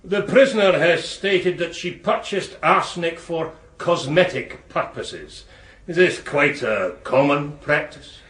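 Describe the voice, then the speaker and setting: An actor playing a methodical prosecuting counsel in a period courtroom radio drama, putting questions in a clipped, formal manner. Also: male voice, on the high side, expressive, slow, fairly bright tone. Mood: stern, measured. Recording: echoey courtroom-like room sound.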